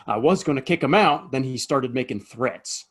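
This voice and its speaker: A man's voice: medium pitch, animated, moderate pace, lightly acted. Male actor, decent saloonkeeper in a Western audio play: mid-range voice, apologetic, hesitant, sincere. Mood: earnest protest. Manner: hesitant, earnest, stammering. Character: decent, apologetic saloon bartender in Old West. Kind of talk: Western audio drama acting.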